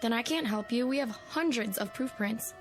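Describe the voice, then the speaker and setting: An American actress playing a businesslike photo shop clerk in a television drama, matter-of-fact, helpful and firm. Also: female voice, fairly high pitch, soft background music, natural voice. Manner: matter-of-fact, brisk service talk.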